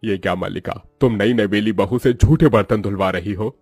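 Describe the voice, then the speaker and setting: A protective middle-aged cartoon patriarch, played by a man. His voice is fairly low and husky, recorded dry. He speaks firmly and reprovingly, as a fair father-in-law. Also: normal speed, a little mellow, no echo, soft background music. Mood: stern. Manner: firm, reproving. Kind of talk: Hindi cartoon moral-story voice acting.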